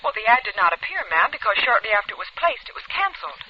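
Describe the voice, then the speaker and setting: An actress playing a businesslike newspaper ads clerk on the telephone in an old-time radio drama, clipped and matter-of-fact. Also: female voice, on the high side, sing-song, rapid-fire, no room sound. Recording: muffled, distant old radio phone call.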